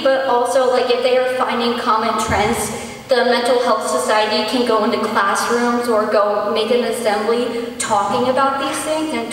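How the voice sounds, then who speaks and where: A woman's voice: on the high side, fairly bright tone. A teenage female student giving a presentation and answering questions in a large hall. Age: teens. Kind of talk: presentation and audience Q&A. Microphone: far camera. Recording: very echoey hall, distant, faint noise.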